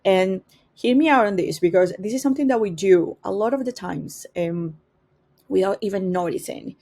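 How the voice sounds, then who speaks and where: A woman's voice: mid-range voice, moderate pace, creaky, mellow. A woman with a strong Spanish accent, a native Spanish speaker, teaching an informal marketing class in a conversational way and often searching for words. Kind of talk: informal master class talk.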